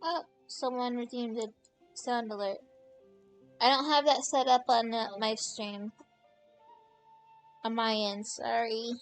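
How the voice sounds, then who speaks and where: A woman's voice: on the high side, steady tone, slow, pauses often, soft background music, rough recording. A woman live streaming a game, giving casual, off-hand reactions and chatting.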